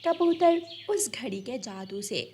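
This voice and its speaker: A woman's voice: on the high side, expressive, takes it slowly, warm tone, a little hiss or hum. A woman reading scripted narration for a children's story as its narrator.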